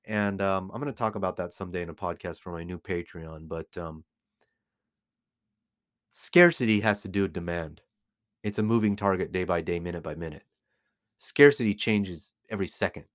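Low-voiced middle-aged American man, close and dry. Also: moderate pace, no room sound. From United States. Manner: emphatic, repeats phrases for stress. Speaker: podcast host, collectibles dealer. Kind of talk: informal opinionated commentary to listeners.

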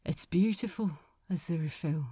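A woman voicing a courteous, soft-spoken, sad gentleman in an audiobook. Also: mid-range voice, lively intonation, moderate pace, no echo, clean studio sound, lightly acted. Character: gentle, sad, dignified gentleman, practical and polite. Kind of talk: acted audiobook dialogue.

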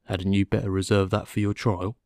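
Guy playing a police inspector in an audiobook, low dry voice, formal, flat official manner, no emotion. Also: brisk, no room sound, natural voice. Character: dull, unemotional male police inspector. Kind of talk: audiobook character dialogue.

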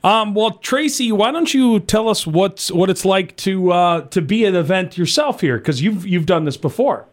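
Male host for a casual interview: playful, joking, very animated pitch, a little high. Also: normal speed, hardly any pauses. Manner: joking, friendly, laughs easily. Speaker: radio host.

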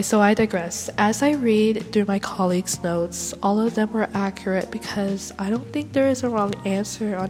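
A woman's voice: mid-range voice, darkish tone, long reverb tail. A young woman with a congested voice, sick with a cold, speaking outspokenly in a solo class podcast reflection. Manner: outspoken and opinionated. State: congested from illness. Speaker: education student.

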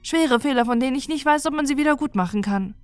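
Storybook companion, firm and persuasive, pitched up, voiced by a woman reader. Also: fast, smooth, dry recording, soft background music, lightly acted. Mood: earnest. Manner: raised pitch, insistent and lecturing.

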